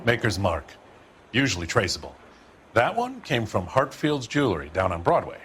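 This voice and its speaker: A man's voice: on the low side, wildly swooping pitch, normal speed, fairly bright tone, slight background noise. A man playing a curt, evasive pawnbroker in a video game, speaking tersely and grudgingly.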